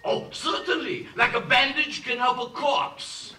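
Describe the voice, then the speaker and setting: An actor playing a domineering but soft-hearted old village father, exclamatory and sarcastic, in a musical film. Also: very high voice, fairly even intonation, unhurried, heavily acted. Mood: irritated, sarcastic. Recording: echoey film soundtrack, distant, music behind.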